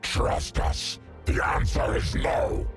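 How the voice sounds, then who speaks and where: An actor voicing a grim, monstrous alien symbiote in a video game, growling in a grave, deliberate way. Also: male voice, faint music behind, natural voice. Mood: grim, dry.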